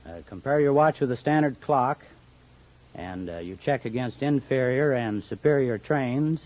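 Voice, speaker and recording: A man's voice: mid-range voice, moderate pace, a little mellow. A man, a contestant on a 1950s radio show, answering literally in a hesitant, matter-of-fact way. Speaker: train conductor, quiz show contestant. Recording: muffled 1950 radio recording, faint noise.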